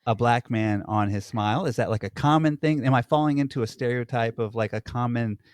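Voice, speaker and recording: A man's voice: medium pitch, a little fast, darkish tone, no room sound, clean studio sound. A man co-hosting a podcast, talking hesitantly and questioning himself in casual conversation. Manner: hesitant, self-questioning, restarts. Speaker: podcast co-host.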